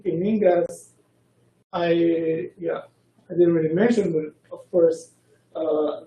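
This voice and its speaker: A man giving an academic lecture as a researcher, speaking haltingly and often correcting himself mid-word. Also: a little high, dark tone. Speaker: researcher giving a colloquium talk. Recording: muffled, echoey room, distant mic.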